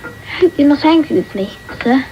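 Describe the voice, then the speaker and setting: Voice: sits high, expressive, fairly quick. A performer playing a polite, frail and innocent young orphan boy in a radio drama, meek and earnest. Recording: dull, faintly hissy radio tape.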